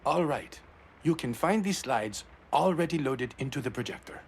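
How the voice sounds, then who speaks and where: A man's voice: on the high side, animated, normal speed, husky. A man voicing a composed, courteous and self-assured public figure in a video game. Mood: proud, assured. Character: composed, courteous male public figure proud of his homeland. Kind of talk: video game dialogue acting.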